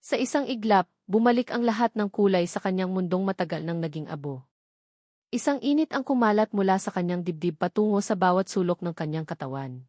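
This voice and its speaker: A woman's voice: mid-range voice, animated, fairly quick, a little mellow, no echo, clean studio sound. A woman narrating a scripted dramatic love story.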